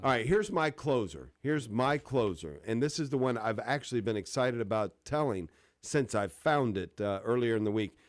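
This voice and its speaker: A man's voice: medium pitch, lively intonation, normal speed. A man co-hosting a radio show, telling jokes in a casual, chatty style. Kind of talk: casual banter and joke-telling. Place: radio studio. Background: music bed.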